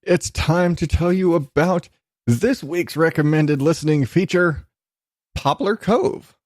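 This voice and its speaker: A man's voice: a little high, slow, a little mellow, no room sound. A man hosting a podcast in a casual, ranting comic monologue, exasperated and emphatic, with plenty of swearing. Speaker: podcast host.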